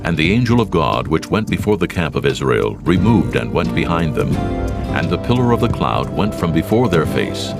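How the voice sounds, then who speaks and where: A man narrating a dramatized scripture reading in a slow, solemn, measured way. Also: rumbling low. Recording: narration over music.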